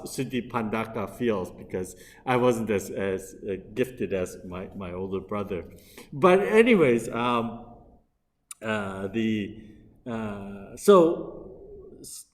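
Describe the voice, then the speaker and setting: A middle-aged man giving a Buddhist dharma lecture as a minister, speaking in an unhurried, hesitant way with frequent pauses and restarts. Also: medium pitch. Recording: long reverb from a hall.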